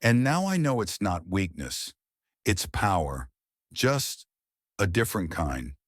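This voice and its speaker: Earnest teen boy classmate, deep and slow, as a man reads him. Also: very animated, no echo, studio quality, heavily acted. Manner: earnest, admiring.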